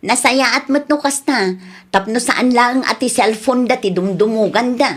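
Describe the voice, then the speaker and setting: A woman's voice: a little high, moderate pace, lightly acted. A woman playing a cheerful, generous and reassuring younger woman in a comedy radio drama, with an Ilocano accent. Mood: affectionate. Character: cheerful, generous, reassuring female friend and daughter.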